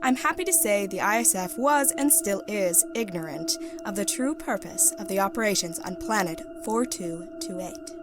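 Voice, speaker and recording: A woman playing a composed, ruthless female secret agent, giving a formal, measured science-fiction mission report. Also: mid-range voice, expressive, close to an everyday voice. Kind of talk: scripted dramatic reading, mission debrief. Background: background music.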